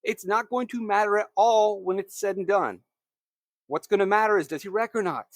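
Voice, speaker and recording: High-voiced man talking fantasy picks. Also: moderate pace, no room sound, studio quality. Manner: conversational, self-correcting, emphatic. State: slightly scratchy throat. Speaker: fantasy racing picks host. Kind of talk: solo picks show monologue.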